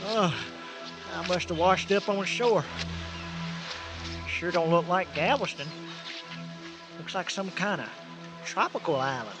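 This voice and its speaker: An actor playing a plain-spoken, excitable country truck driver with a Southern US accent and a drawling, folksy manner in a radio drama. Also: male voice, sits high, takes it slowly, long pauses, music in the background. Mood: dazed. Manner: drawling, colourful folksy exclamations. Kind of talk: old-time style radio drama acting.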